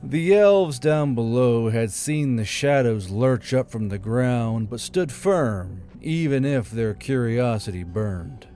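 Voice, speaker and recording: A man's voice: medium pitch, lively intonation, slow pace, few pauses, warm tone, faint music behind. Male audiobook narrator, mid-range voice, dramatic scripted storytelling.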